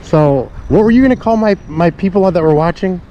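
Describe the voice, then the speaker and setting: A man's voice: sits high, lively intonation, fairly quick. A middle-aged man chatting casually and ramblingly to viewers while out riding, with jokey asides. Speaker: vlogger. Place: outdoors on a suburban street. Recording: dull action-camera audio, outdoor street noise.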